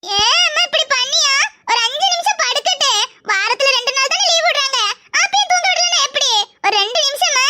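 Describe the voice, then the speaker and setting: A woman's voice: extremely high pitch, expressive, fairly quick. A woman voicing a sleepy cartoon schoolgirl who whines and drawls in a southern Tamil Nadu accent. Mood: pleading. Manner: drawling, whiny. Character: sleepy, whining schoolgirl pleading to stay in bed. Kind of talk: cartoon character dialogue. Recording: very loud background noise.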